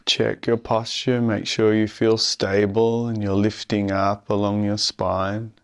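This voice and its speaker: A man guides a meditation in a low, smooth voice. He speaks very slowly and soothingly, leaving long pauses between phrases. His delivery stays calm and very even in pitch throughout.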